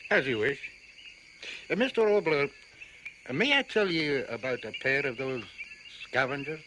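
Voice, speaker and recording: Seasoned older male hunter played by a male actor, radio drama: hesitant, understated, expressive pitch, noisy outdoor-sounding track. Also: moderate pace, lightly acted. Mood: understated, knowing. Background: night animal and insect ambience.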